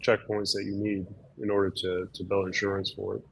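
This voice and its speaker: A slightly hoarse man, a doctor, explaining things in an easygoing way with lots of fillers during a casual webinar chat. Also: sits low, completely flat delivery, a little fast, poor audio.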